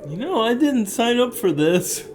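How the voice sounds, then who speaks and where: A woman's voice: on the high side, sing-song, unhurried, a little mellow, a little hiss or hum. A woman playing a wary, sardonic companion with a knowing streak in an audio drama. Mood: skeptical.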